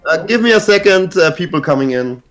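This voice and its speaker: A man's voice: high voice, animated, a little fast, over music. A man co-hosting a podcast, rambling through stories with frequent laughs, talking from a hotel room. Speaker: podcast co-host. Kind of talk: casual chat.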